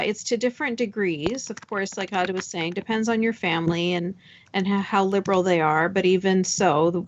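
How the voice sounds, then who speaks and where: A woman's voice: mid-range voice, creaky. Informal woman, slightly muffled recording. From Canada. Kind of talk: casual conversational commentary. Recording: dull, lo-fi sound.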